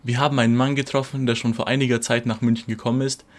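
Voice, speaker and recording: A man's voice: mid-range voice, flat delivery, fast. A man reading scripted narration as the reporter of a news feature.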